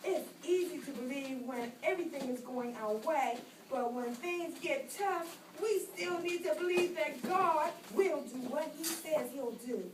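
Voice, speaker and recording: A woman's voice: high voice, a little slow, hardly any pauses. A woman voicing a perky, earnest female puppet in a children's show, with exaggerated, preachy delivery. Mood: earnest storytelling. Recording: distant, echoey room with clear noise.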